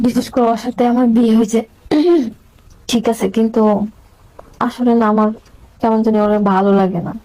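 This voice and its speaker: Mellow, quick-talking woman on a call. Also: a little high, steady tone, poor audio. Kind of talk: casual phone conversation.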